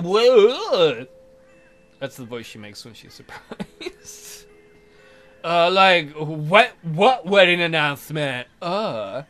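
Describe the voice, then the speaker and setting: Male let's player: high, very animated delivery, sarcastic narration and commentary on a visual novel. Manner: sarcastic, incredulous asides. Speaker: let's play host.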